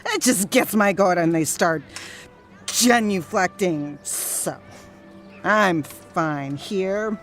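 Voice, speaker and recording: Drunk, snobby 1930s wife, sardonic and defiant, rambling slowly, pitch leaping; radio drama with music underneath. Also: female voice. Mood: tipsy musing. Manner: rambling, sardonic, muttering to outbursts.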